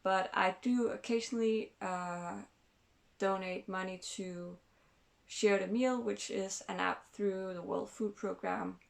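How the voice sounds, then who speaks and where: Slow, gravelly-voiced woman from Denmark. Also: lowish voice. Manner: thoughtful, hesitant, many fillers and restarts. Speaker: channel host. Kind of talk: casual explanatory vlog.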